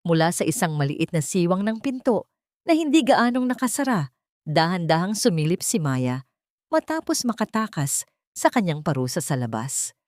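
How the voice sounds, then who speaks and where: A woman's voice: on the low side, big pitch swings, normal speed, a little mellow, dry recording, clean studio sound. A woman reading a dramatic story as a scripted narration, with a solemn, ornate storytelling delivery.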